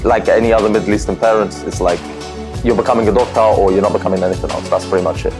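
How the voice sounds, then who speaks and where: Casting note: young streamer, low voice, candid and animated, music underneath. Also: male voice, rapid-fire. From Lebanon. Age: young adult. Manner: animated, candid, lots of fillers. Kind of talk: personal interview storytelling.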